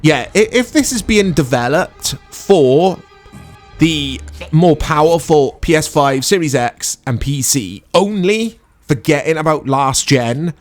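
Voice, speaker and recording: A man's voice: on the high side, lively intonation, slow, faint music behind. A man giving casual, sarcastic gameplay commentary, chatting and laughing at himself as he plays. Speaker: let's-play commentator.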